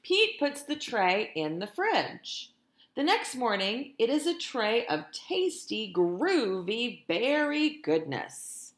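Woman, storytime teacher for small kids. Unhurried, expressive, talks straight to the children, in an echoey room. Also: a little high. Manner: expressive, deliberate, addresses children directly. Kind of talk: picture-book read-aloud for young children. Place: indoors in a room at home.

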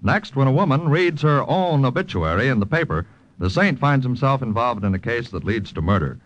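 A man's voice: mid-range voice, very animated, brisk, dry recording. A man reading scripted credits and programme promos as an old-time radio announcer. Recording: dull old radio recording, faint music.

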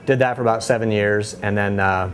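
A man in his thirties, a head brewer giving a casual tour of his small brewery, relaxed and full of fillers and restarts. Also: lowish voice, fairly quick, slight background noise. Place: small brewery inside a bar, among steel tanks. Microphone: lapel mic.

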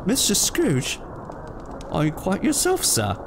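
An actor playing a meek, apologetic office clerk, deferential and nervous, in a theatrical audio drama. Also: male voice, high-pitched, huge pitch swings, slow, background noise, full character voice. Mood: bewildered.

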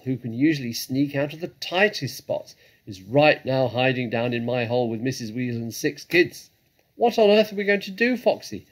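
A man voicing an excitable, blustering male badger from a storybook, with a booming, husky voice and an agitated, exclamatory manner. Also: close to an everyday voice.

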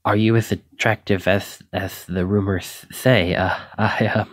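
A man's voice: on the low side, steady tone, unhurried, dry recording, clean studio sound. A man acting a shy, flustered, self-doubting young knight in an ASMR roleplay, stammering and hesitant as he apologizes. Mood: flustered, apologetic.

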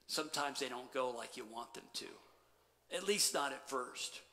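A middle-aged man preaching a sermon as pastor, animated, then dropping to quiet emphasis. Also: medium pitch, normal speed. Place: church sanctuary stage. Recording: long church reverb.

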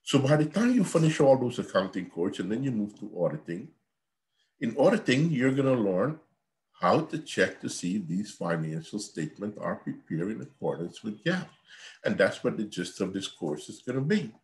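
A man's voice: fairly low pitch, very animated, moderate pace, a little mellow. Man lecturing on a call. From Guyana. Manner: earnest, repetitive teacherly explaining. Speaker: accounting professor. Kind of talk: online university lecture. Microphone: video call.

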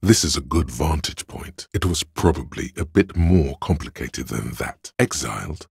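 A man voicing the cold, dry inner logic of a detective in a video game, measured and analytical, with a gravelly, husky delivery. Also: very deep voice, lively intonation, darkish tone, dry recording, exaggerated voice. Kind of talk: scripted video game voice acting, second-person narration.

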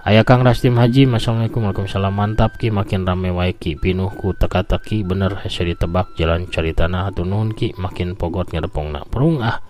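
An older man with a Sundanese accent, a folk tale storyteller and host speaking in an expressive, folksy, conversational way. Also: sits low, a little fast. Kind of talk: expressive storytelling and reading out listener messages.

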